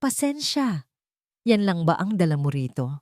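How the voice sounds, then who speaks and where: A woman's voice: fairly low pitch, big pitch swings, measured pace, darkish tone, dry recording, studio quality. A woman voicing a cold, tired, bitter billionaire father in an audiobook drama, commanding and without emotion. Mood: bitter, challenging.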